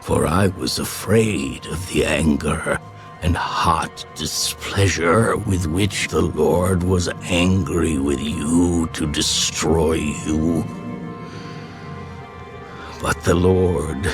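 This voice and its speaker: A solemn elderly prophet in an audio Bible drama. His voice is deep and he speaks extremely slowly, weighing each phrase like a formal oration. Clear music plays behind him as he gravely reproves his people. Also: fairly even intonation, heavily acted. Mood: solemn recounting. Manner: grave, deliberate, oratorical.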